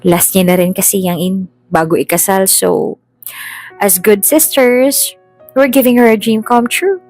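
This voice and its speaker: A woman's voice: medium pitch, fairly even intonation, measured pace, a little mellow, faint music behind, natural voice. A woman voicing a scheming young stepsister in an audio story, coaxing with false sweetness. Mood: persuasive. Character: Cecilia, sly scheming evil young stepsister. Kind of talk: dramatized Tagalog audio story.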